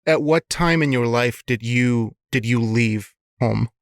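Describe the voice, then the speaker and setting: A man hosting an interview podcast, his voice gravelly with strong vocal fry. The sound is close and dry, and he asks searching questions, often restarting words as he goes. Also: medium pitch, measured pace, no room sound. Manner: thoughtful, restarts words, affirming. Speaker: podcast host.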